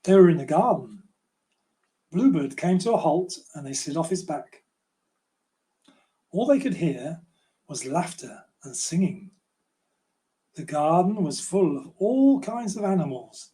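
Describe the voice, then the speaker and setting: An older man reading a children's storybook aloud in a gentle, unhurried way. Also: on the high side, animated. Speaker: children's author reading own book.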